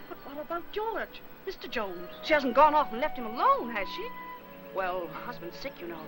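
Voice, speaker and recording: An actress playing a fussy, talkative, well-meaning older aunt in a 1950s Hollywood film, chatty and fretful. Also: female voice, sits high, big pitch swings, normal speed, poor audio. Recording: old film soundtrack, faint music.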